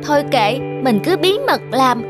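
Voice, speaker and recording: A woman's voice: high voice, lively intonation, normal speed. A woman playing an eager, naive young monkey apprentice in a children's cartoon, with a childlike, earnest manner. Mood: mischievous, excited. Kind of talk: dubbed children's moral cartoon. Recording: music behind the dialogue.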